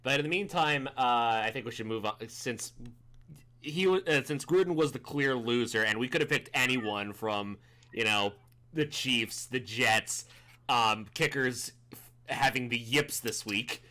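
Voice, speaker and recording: A man in his thirties co-hosting a casual sports podcast, restarting sentences and rushing when excited. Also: medium pitch. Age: 30s. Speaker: podcast co-host.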